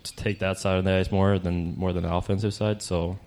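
A young college hockey player who answers slowly and hesitantly. His voice is fairly low, with a faint hiss of noise behind him. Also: flat delivery, a little mellow. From Sweden. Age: 20s. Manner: hesitant, many fillers, modest.

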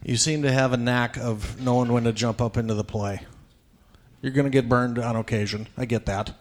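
A man hosting a live radio broadcast from a banquet event, emceeing and asking players questions in a brisk, chatty, joking way. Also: mid-range voice, steady tone. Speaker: radio play-by-play host.

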